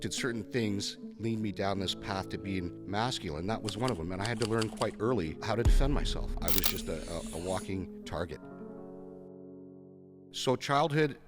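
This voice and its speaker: Very deep-voiced Canadian man, reflective. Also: moderate pace, big-room sound, over loud music. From Canada, born in Kenya. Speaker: podcast guest. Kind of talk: personal storytelling in an interview.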